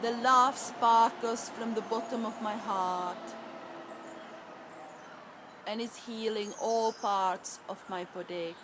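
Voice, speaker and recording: A woman speaks in a soft, soothing and encouraging way as she guides a tapping session. Her voice sits a little high. A faint hiss runs under the recording. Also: fairly even intonation, a little slow. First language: Czech. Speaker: EFT tapping practitioner.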